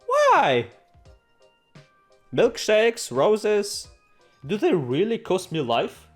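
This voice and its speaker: Playful higher-pitched man over game music. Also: huge pitch swings, very slow. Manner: playful, theatrical, exclaims and jokes to himself. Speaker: gaming video host. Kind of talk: let's play, reading game text aloud with joking asides. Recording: music behind the voice.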